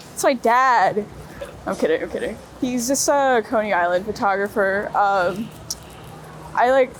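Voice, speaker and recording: A young woman from Brooklyn chats informally in a fairly high voice, with plenty of casual filler words. She is recorded on a clip-on mic out on a city street, with clear traffic noise around her. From Brooklyn, New York. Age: young adult. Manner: informal, slangy, frequent like and you know. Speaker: street photographer being interviewed. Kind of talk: casual chat while walking. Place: outdoors on busy city streets. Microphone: wireless clip-on mic.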